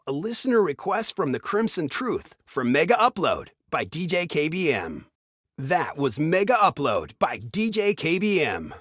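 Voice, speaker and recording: An actor playing an upbeat retro radio DJ in a video game, with a smooth announcer's delivery of scripted patter. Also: male voice, fairly high pitch, fairly bright tone, no echo, clean studio sound, full character voice. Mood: upbeat, easygoing.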